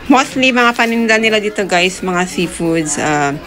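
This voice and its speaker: A woman's voice: medium pitch, lively intonation, moderate pace, crowd noise. A woman narrating a casual travel vlog, chatty and addressing her viewers as guys. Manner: chatty, keeps saying "guys". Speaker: travel vlogger.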